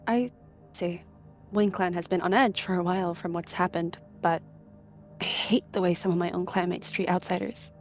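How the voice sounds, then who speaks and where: A woman's voice: on the low side, fairly even intonation, moderate pace, lightly acted. Woman playing a friendly, chatty female cat. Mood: frustrated, earnest. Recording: music behind the voice.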